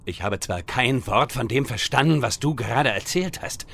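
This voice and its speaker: An actor playing a whiny, self-important wizard with a theatrical, childishly excitable manner in a comedy audio drama. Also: male voice, mid-range voice, moderate pace, creaky, slight background noise. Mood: grumbling, self-important.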